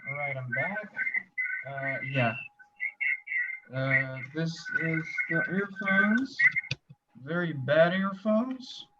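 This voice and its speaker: A man's voice: on the high side, very slow, long pauses. A man hosting a casual interview over a video call, informal and easygoing in his questions. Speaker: host. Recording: dull video call audio with faint noise.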